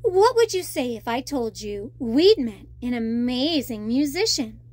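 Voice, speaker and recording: A woman's voice: on the high side, big pitch swings, measured pace, a little mellow. A woman voicing a young mermaid princess in a storybook, plucky, kind and coaxing. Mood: optimistic.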